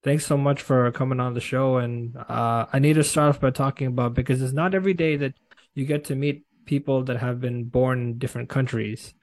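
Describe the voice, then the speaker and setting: A man hosting an interview podcast, speaking conversationally in a casual, somewhat halting way. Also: mid-range voice, steady tone, darkish tone.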